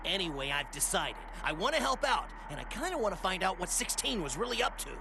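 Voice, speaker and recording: A man voicing an anxious, loyal everyman fighter in an anime video game, expressive and hesitant, over music. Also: sits high, fast, background noise. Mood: earnest. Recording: loud music behind the voice.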